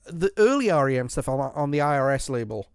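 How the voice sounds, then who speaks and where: A man's voice: mid-range voice, very animated, fairly quick, smooth, no room sound, studio quality. A man hosting a podcast, chatting casually about music in a rambling way with lots of restarts. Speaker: podcast host.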